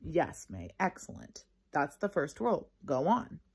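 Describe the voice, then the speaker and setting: A patient male first-grade teacher from a storybook, as a woman reads him. The voice is husky and measured, praising pupils while staying gently firm. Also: low voice, huge pitch swings, full character voice. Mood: encouraging.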